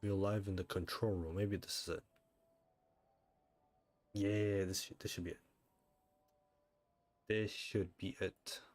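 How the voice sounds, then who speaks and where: A man chatting casually as he livestreams a game, thinking out loud and often asking himself questions. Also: low voice, level tone, slow, long pauses, dry recording. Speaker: livestreamer, gamer. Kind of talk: casual livestream chat while playing a game.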